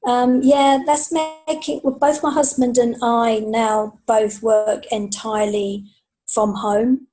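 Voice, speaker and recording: A woman's voice: on the high side, level tone, slow, dark tone, low-quality recording. A middle-aged woman chatting casually as a guest in a podcast interview. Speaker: podcast guest, freelance writer and author.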